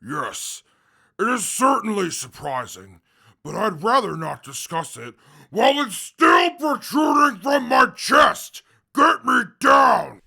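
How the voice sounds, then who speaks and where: A man's voice: high voice, sing-song, takes it slowly, creaky, sharp tone, heavily acted. A man playing a wounded super mutant in an audio drama, panicked and indignant, with oddly formal wording. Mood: frantic, indignant.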